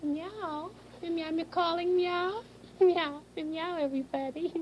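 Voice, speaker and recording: Man doing a high, meowing little girl kitty. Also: fairly even intonation, takes it slowly. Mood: eager, sweet. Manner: peppers speech with meows. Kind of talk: children's puppet show acting. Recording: muffled, noisy old TV audio.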